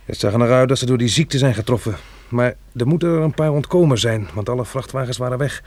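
A man's voice: medium pitch, quick pace, darkish tone, lightly acted. An actor playing a weary, practical male survivor turned farmer in a radio drama, with a hesitant, musing delivery. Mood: grim resolve. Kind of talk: radio drama acting with diary narration.

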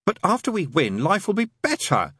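A man's voice: on the high side, huge pitch swings, brisk, a bit bright, husky, dry recording, studio quality, pitched above the performer's own voice, full character voice. A man voicing a prim, fussy, genteel male angel with polite, old-fashioned, faintly pompous phrasing, in an audiobook story. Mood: strained.

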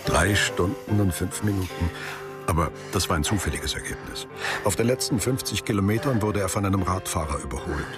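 A man narrates a literary radio drama in a very low voice. The delivery is scripted storytelling. Music runs clearly underneath. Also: lively intonation, a little fast.

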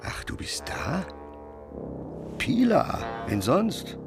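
An actor in a literary radio play playing a melancholy, sardonic man who rambles and tells stories in a husky, creaky voice. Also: fairly high pitch, wildly swooping pitch, very slow, music in the background, full character voice. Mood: sardonic storytelling. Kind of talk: literary radio drama acting.

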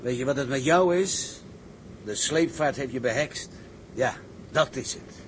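A man's voice: mid-range voice, measured pace, slight background noise, close to an everyday voice. A man playing a sober, sceptical ship's engineer in a seafaring drama, with a wry, down-to-earth manner. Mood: dismissive. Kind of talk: dramatised seafaring story, scripted acting.

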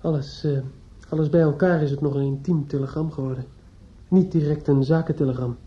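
An actor in a radio drama playing a despairing, obsessive judge's son who is outwardly polite and inwardly bitter. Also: male voice, a little high, fairly even intonation, dark tone, close to an everyday voice. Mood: hesitant, tense. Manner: halting and hesitant with others, brooding and repetitive when alone. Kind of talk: radio drama acting with interior monologue. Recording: dull lo-fi old radio play recording.

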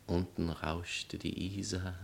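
An actor playing a jilted bohemian writer in a 1960s German radio play, declamatory and ironic, with pleading and biting sarcasm. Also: male voice, level tone, slow pace, creaky, full character voice. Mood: pleading, seductive. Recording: faint noise and low rumble.

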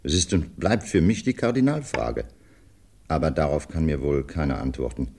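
Very deep, gravelly, pompous doctor. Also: male voice, expressive, moderate pace, darkish tone, slight background noise, heavily acted. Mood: insistent, curious. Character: stern, skeptical male doctor, proud, gruff sparring partner. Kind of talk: 1950s German radio drama acting. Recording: 1950s radio drama studio recording.

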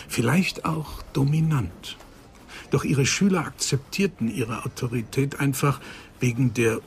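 An actor playing an earnest psychoanalyst of nearly eighty in a German radio crime drama, speaking in a measured, emphatic way. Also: male voice, medium pitch, a little hiss or hum. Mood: reflective, admiring. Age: older.

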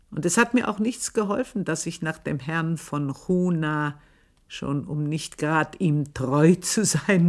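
An older actress playing an old, scheming maidservant in a radio drama, brooding and intense, dropping into whispers. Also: female voice, low voice, a little mellow, heavily acted. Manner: brooding, intense, shifts between whispers and outbursts. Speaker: old maid narrating her life. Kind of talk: 1980s radio drama acting.

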